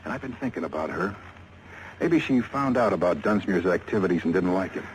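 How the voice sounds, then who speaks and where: A man's voice: low voice, brisk, natural voice, poor audio. An American man playing a businesslike, suspicious police lieutenant in a 1950s radio detective drama. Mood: musing. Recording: vintage radio recording with faint noise.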